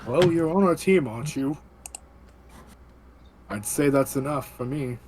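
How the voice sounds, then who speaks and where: A man playing in a tabletop game, talking in animated, casual banter and laughing often. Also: mid-range voice, a little slow, slight background noise. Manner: animated, laughing, explains things. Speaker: player.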